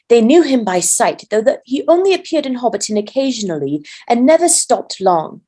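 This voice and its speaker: A woman's voice: on the high side, mellow. A woman narrating a storybook as a volunteer reader.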